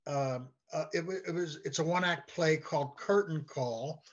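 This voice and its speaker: American man, higher-pitched, halting talker. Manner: halting, repeats words as he goes. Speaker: interview guest, playwright. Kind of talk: casual interview chat, telling anecdotes. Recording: dull, lo-fi call-like audio.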